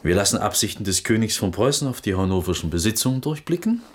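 An actor playing a scheming, corrupt court minister in a radio play, with a sly, insinuating manner. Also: male voice, fairly low pitch, very animated, quick pace, slightly rough.

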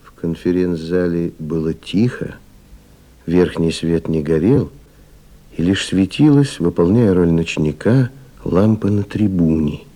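Narrator for radio drama: calm storyteller, very low, dark, mellow voice, literary delivery. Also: male voice, measured pace. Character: calm storyteller setting the scene. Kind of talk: literary scripted narration, 1970s Soviet radio play.

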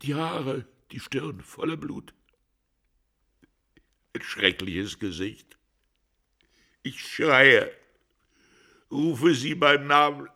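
Gravelly, anguished husband in radio drama. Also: male voice, medium pitch, sharp tone, heavily acted. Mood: agitated, grief-stricken. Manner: halting, anguished, dropping into breathy near-whispers. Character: distraught, hard-drinking husband questioned over his wife's murder. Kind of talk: German radio drama acting.

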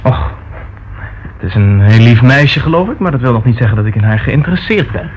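A man playing a curt, haughty gentleman. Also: mid-range voice, expressive, brisk, lightly acted. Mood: dismissive, evasive. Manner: curt, dismissive. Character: curt, haughty, evasive gentleman under questioning. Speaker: actor in a radio play. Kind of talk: Dutch radio drama acting. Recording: dull, faintly noisy old radio recording.

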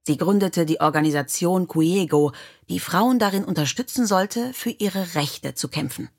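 Slightly husky, low-voiced woman narrator. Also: moderate pace, darkish tone. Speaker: audiobook narrator. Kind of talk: scripted storybook narration.

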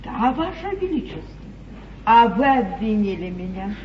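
An old, distant theatre recording with a dull, echoing sound. An actress plays a domineering, shrewd duchess in a stage comedy. Her delivery is imperious and emphatic, with very mobile pitch. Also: sing-song, moderate pace, a little hiss or hum, lightly acted. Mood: smooth, courtly. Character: domineering, shrewd duchess at an English royal court.

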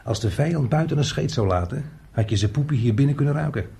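A man acting an earthy, talkative, hot-tempered working man in a radio play, crude and emphatic, with a husky voice. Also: medium pitch, expressive, quick pace, dark tone, heavily acted. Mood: wry storytelling.

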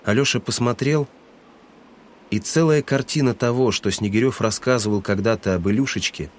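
A man's voice: medium pitch, normal speed, slight background noise. A man reading scripted literary narration for an audio drama.

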